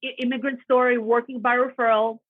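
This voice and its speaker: A woman from Latvia speaks with a Russian accent, her voice sitting a little high. She tells her story in a chatty, earnest way. The sound is dry and thin, like a phone line. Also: little pitch movement, fairly quick, no echo. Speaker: realtor guest. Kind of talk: casual podcast interview.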